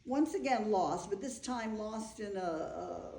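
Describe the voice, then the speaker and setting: A woman's voice: mid-range voice, animated, measured pace, gravelly, mellow, a little hiss or hum. An older woman playing a wry, frank, wistful older bride in a staged play reading. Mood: wry storytelling. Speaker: actor. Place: echoey room, live staged reading. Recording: echoey room recording.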